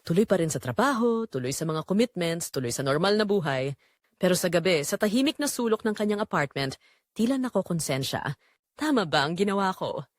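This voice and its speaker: Expressive woman narrating a dramatic story. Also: on the low side, a little fast, dry recording, studio quality.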